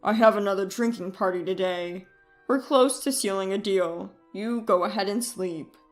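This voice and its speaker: Woman doing evasive cheating husband in a story narration, lower pitch, kinda exaggerated, faint music behind. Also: moderate pace, natural voice. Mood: casual excuses. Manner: exaggerated, pleading or dismissive. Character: evasive cheating husband, whiny and defensive when cornered. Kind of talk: dramatized audio story dialogue.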